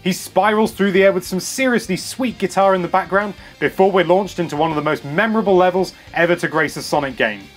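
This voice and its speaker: British man, high voice, cheeky and enthusiastic, scripted countdown narration, music playing behind. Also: fast talker, few pauses. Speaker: video host.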